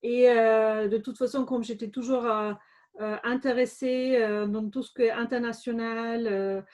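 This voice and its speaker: A woman's voice: on the high side, steady tone, a little slow, a little mellow. A middle-aged woman from Germany with a German accent, a guest answering interview questions with many hesitations and restarts. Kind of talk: conversational interview.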